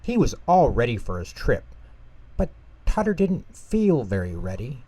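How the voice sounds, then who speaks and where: A man narrating a children's bedtime story in an expressive, unhurried way. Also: mid-range voice, husky.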